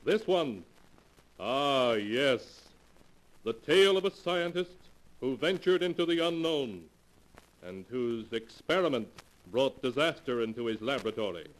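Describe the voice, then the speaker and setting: A man narrating and announcing an old radio mystery show in a measured, dramatic manner. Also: mid-range voice, animated, poor audio.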